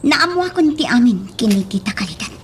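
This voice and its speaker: An actress playing a distressed, insistent young daughter in a radio love drama, with a Filipino accent. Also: female voice, on the high side, very animated, fairly quick, a little hiss or hum. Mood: accusing, hurt.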